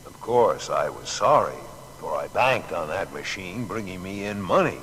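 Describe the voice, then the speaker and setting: An actor playing an earnest, plain-spoken male inventor recalling his work in a measured way for a dramatised biography. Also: deep voice, lively intonation, a bit bright. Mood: rueful, resolute. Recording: long hall reverb, faint noise.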